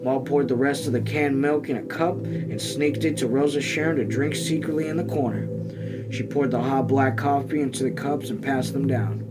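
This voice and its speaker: A man's voice: medium pitch, steady tone, moderate pace, poor audio. A man reading a novel aloud as an amateur, dropping in casual asides. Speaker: reader. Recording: music playing behind the voice.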